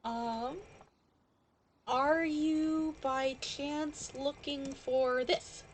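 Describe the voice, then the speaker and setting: A woman's voice: high voice, steady tone, very slow, slight background noise. A woman game streamer chatting casually and reading game dialogue aloud on a live stream.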